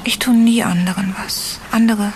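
A woman playing a sad, lonely grown woman in an audio story, talking softly in a half-whisper. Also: a little high, fairly even intonation, moderate pace, slight background noise, natural voice. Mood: sad, wistful. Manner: soft, hushed, half-whispered.

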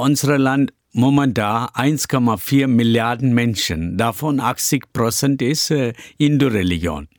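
A middle-aged Indian pastor whose first language is Tamil answers interview questions in a halting way, pausing often with many hesitations and simple grammar. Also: male voice, mid-range voice, no echo, studio quality. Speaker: pastor and church planter.

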